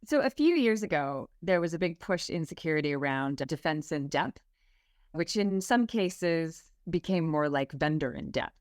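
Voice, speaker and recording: Upbeat Canadian woman, lowish voice. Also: lively intonation, normal speed, dry recording, studio quality. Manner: upbeat, articulate, some fillers. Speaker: guest, security specialist. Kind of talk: conversational interview.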